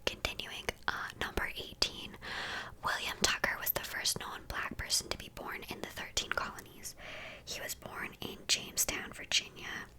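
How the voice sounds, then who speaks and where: A woman in her twenties from the United States, softly whispering through a list of facts in an ASMR video, tripping over words and adding chatty asides. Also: normal speed, rough recording. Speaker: ASMR creator.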